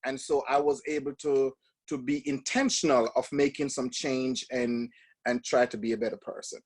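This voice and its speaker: A man with a Jamaican accent, in his fifties, talks through his upbringing as an interview guest. He speaks thoughtfully and loosely, circling back on his words with restarts and fillers, at a mid-range pitch for a man. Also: steady tone, moderate pace, husky. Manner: reflective, storytelling with frequent restarts and fillers. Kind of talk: casual interview talk.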